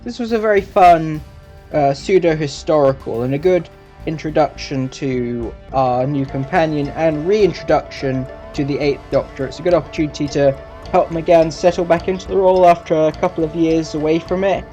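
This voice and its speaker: A man giving a casual review as a video host, in a halting, self-correcting way. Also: on the high side, warm tone, highs cut off, soft background music. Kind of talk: casual audio drama review.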